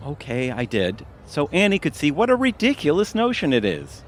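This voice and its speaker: Actor for comic flustered husband, middle-aged, hugely varied pitch, clear ambient noise behind him. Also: male voice, wildly swooping pitch, moderate pace. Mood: defensive. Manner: flustered, backpedalling. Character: flustered, defensive middle-aged married man. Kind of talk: audio theatre comedy acting.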